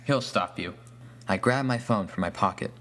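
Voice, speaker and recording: A man's voice: lowish voice, moderate pace, a bit bright. A man reading a scripted first-person horror story as its narrator.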